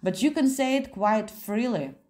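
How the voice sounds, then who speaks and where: A woman's voice: a little high, expressive, normal speed, a little mellow, natural voice. A woman voicing a learned, self-assured male editor with a polite, lecturing manner in an audiobook.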